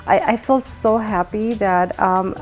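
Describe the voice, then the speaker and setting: A woman from Armenia, a charity guest, talking earnestly with many halting restarts. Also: mid-range voice, steady tone, faint music behind. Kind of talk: conversational interview.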